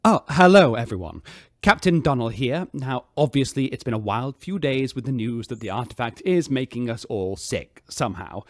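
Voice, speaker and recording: An actor playing an insecure, people-pleasing starship captain who makes formal announcements in a hesitant, placating way. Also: male voice, mid-range voice, lively intonation, normal speed, no echo, studio quality, lightly acted. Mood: nervous, placating. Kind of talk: sci-fi audio drama acting.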